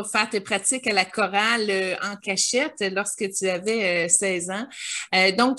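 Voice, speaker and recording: A woman hosting an interview, asking questions in an admiring tone with frequent fillers. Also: mid-range voice. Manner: warm and admiring, with many fillers. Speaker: interview host.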